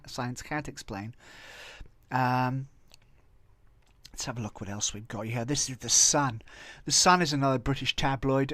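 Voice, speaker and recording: A man's voice: medium pitch, fairly bright tone, no room sound. A man with a Welsh accent hosting a video, giving casual, rambling off-the-cuff commentary. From Wales. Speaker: video host commenting on viral clips and tabloid stories.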